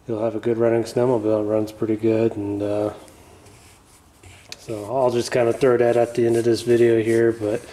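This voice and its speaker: A man's voice: medium pitch, moderate pace. A man hosting a casual repair vlog, talking loosely with lots of fillers as he works on parts in a garage, filming on a phone. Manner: mumbly, relaxed, trailing off with fillers. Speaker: channel host. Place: garage, working on a floor bench.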